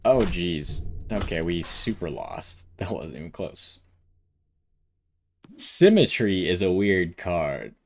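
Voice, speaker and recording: Male gaming video host, lower register, casual play-by-play, reasons through decisions aloud. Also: big pitch swings, takes it slowly, creaky. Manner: thinks aloud while deciding. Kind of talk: casual gameplay commentary.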